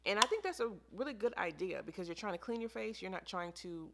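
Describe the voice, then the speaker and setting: A woman's voice: medium pitch, lively intonation, fast, creaky, noisy background. An American woman chatting casually to camera as a beauty vlogger, in an informal, emphatic way that repeats phrases for emphasis. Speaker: beauty vlogger reviewing products.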